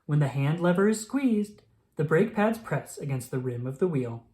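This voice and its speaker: Lively children's book narrator. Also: mid-range voice, moderate pace. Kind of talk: scripted narration, picture book read aloud.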